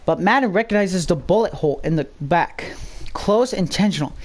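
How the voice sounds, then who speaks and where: Female story narrator, slightly low register, dramatic scripted read. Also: lively intonation, normal speed, darkish tone.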